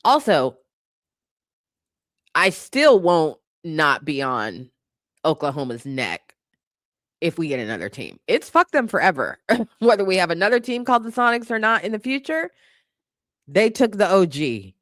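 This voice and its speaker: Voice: mid-range voice, very animated, a little slow, pauses often, a bit bright, no room sound, studio quality. A livestream host chatting casually and off the cuff with viewers, opinionated and sassy, in colloquial language. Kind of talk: casual unscripted chat, reacting to comments.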